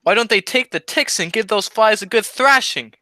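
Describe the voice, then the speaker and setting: Man playing a bright-voiced dim-witted comic sidekick. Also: high-pitched, animated, moderate pace, no room sound, studio quality. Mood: silly. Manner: silly, cheeky wordplay. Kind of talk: 1940s radio comedy acting.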